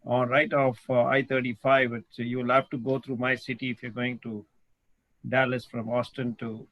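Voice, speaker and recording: Man, video call, halting, restarts a lot, dry close sound, mid pitch. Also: level tone, no room sound, muffled recording. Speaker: real estate investor. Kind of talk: casual video call introduction.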